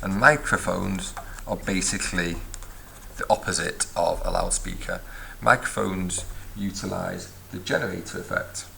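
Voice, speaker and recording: Deep, gravelly man teaching science. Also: a little slow, pushed and bright, slight background noise. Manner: methodical, recapping step by step. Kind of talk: explanatory physics lesson. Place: at a desk, filming a notebook from above.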